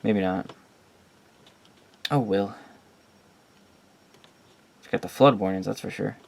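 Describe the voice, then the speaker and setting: A man's voice: lowish voice, slow, vocal fry. A man casually and informally presenting his collection in a rambling, unscripted vlog.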